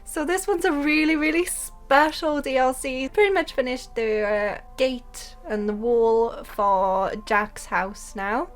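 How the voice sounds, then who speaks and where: A young Welsh woman with a Welsh accent, chatting excitedly about a game update and then calmly explaining her building steps. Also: high-pitched, slow, few pauses, soft background music. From Wales. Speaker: game content creator. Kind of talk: casual gameplay commentary.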